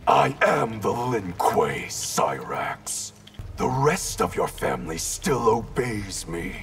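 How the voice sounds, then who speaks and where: Gravelly, domineering ninja grandmaster. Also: male voice, lowish voice, sing-song, slow, fairly bright tone, exaggerated voice. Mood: cold, commanding. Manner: stern, imperious, menacing. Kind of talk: scripted video game dialogue. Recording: clear music behind the voice.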